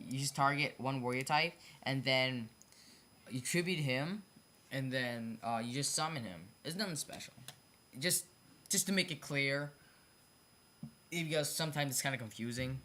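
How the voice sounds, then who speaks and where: Bright-voiced, stumbling card game explainer. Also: mid-range voice, normal speed, long pauses, a little hiss or hum. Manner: repetitive, self-correcting, stumbles over phrasing; apologetic about own mistakes. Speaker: hobby card game video creator. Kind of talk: casual off-the-cuff explainer of custom card designs.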